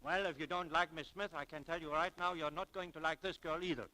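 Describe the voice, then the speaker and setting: An actor playing a kindly, hesitant, stammering coworker and loyal friend in a 1940s radio drama, on a noisy old recording. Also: male voice, on the high side, steady tone, fast talker, pushed and bright. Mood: earnest.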